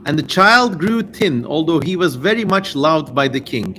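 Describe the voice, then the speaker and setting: A man's voice: fairly high pitch, expressive, moderate pace, sharp tone. A man hosting a livestream, moving between casual, digressive commentary and theatrical reading aloud. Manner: digressive, informal asides amid theatrical reading. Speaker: livestream host. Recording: noisy amateur livestream microphone audio.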